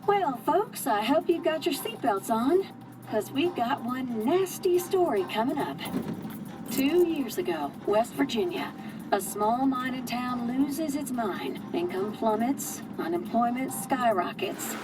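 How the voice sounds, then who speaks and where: An actress playing a chatty, folksy local radio news presenter in a video game, telling the story with dramatic flair. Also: female voice, high-pitched, expressive, unhurried, recorded from a distance, over music, poor audio. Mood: wry, dramatic.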